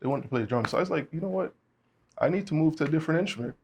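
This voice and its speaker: A young man from the Bahamas, a guest musician talking in a relaxed way, with many fillers. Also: on the high side, rapid-fire, breathy edge. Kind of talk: casual interview chat.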